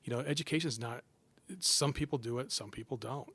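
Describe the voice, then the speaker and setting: A man's voice: medium pitch, breathy edge. Middle-aged man, joking storyteller. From Southern California. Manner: animated, self-deprecating jokes, quick asides. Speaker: guest, psychology professor. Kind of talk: casual interview storytelling.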